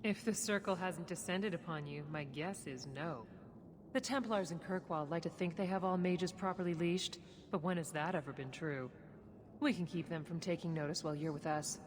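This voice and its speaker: An actress playing a shrewd, composed smuggler boss in a video game, speaking in a measured, wry, businesslike way. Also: female voice, fairly low pitch, a crowd in the background, natural voice. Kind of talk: video game dialogue acting. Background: music.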